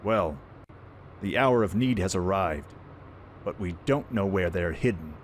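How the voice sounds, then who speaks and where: Man acting a cocky, lively young pirate prince. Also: deep voice, unhurried, a little hiss or hum. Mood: proud, explaining. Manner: animated, cocky, informal. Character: brash young male pirate prince, friendly and eager. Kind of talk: fan audio drama acting.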